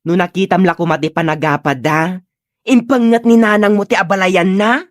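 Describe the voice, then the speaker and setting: Woman acting a scolding village peacemaker, medium pitch. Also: fairly even intonation, normal speed, dry recording, studio quality, close to an everyday voice. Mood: concerned. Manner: admonishing, emphatic. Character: scolding village woman, exasperated peacemaker among quarrelling elders. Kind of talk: comedic Ilocano radio-style drama acting.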